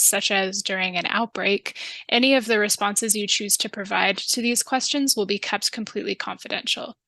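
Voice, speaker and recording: A woman narrating a training video and playing a calm, reassuring public health interviewer. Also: medium pitch, no room sound.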